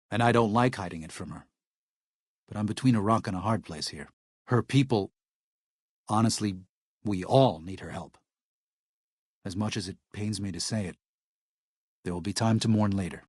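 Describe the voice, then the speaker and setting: An earnest missionary medic from a video game, with a slightly low male voice in a dry, close recording. He sounds weary and protective, speaking plainly and seriously, firm in his morals but anxious underneath. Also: no room sound. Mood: troubled, resigned. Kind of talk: video game character dialogue.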